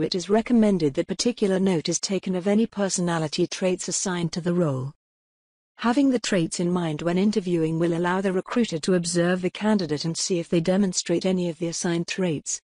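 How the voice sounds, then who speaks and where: A woman reading scripted tutorial narration in a measured, impersonal, step-by-step way. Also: on the low side, darkish tone, dry recording, studio quality.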